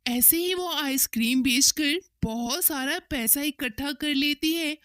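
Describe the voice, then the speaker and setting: A woman's voice: high voice, unhurried, fairly bright tone, dry recording, clean studio sound. A woman narrating a scripted cartoon story as a storyteller.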